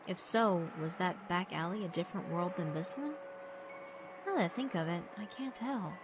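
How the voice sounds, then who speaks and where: A woman's voice: fairly low pitch, expressive, moderate pace, background noise. A woman reading scripted first-person narration for a horror visual novel. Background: background music.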